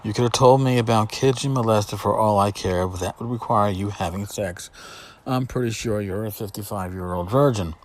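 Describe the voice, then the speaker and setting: A middle-aged man hosting a casual solo podcast, talking in a blunt, sarcastic, sweary way with emphatic repetition and pauses for effect. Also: lowish voice. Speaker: podcast host. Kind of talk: casual spoken podcast monologue.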